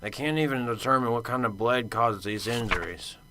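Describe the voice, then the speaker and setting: An actor playing a cynical, brusque big-city detective in a radio drama, gruff and terse. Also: male voice, medium pitch, fairly quick, sharp tone, a little hiss or hum, lightly acted. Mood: bitter, brooding.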